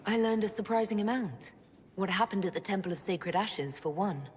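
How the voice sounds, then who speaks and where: An actress playing a calm, supportive fantasy army leader in a video game, speaking in a measured, gently teasing, reassuring way. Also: female voice, medium pitch, close to an everyday voice. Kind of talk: video game cutscene acting. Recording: reverberant hall with faint ambient noise.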